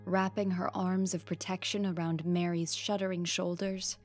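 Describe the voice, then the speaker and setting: A woman's voice: fairly low pitch, flat delivery, moderate pace, darkish tone, faint music behind. A woman storyteller reading a scripted fairy tale with a horror bent.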